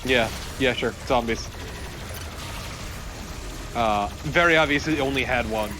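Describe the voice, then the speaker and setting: A man's voice: medium pitch, a little slow. A man co-commentating on a game in casual, joking banter. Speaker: let's play co-commentator. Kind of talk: casual banter over gameplay. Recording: loud game noise behind voice.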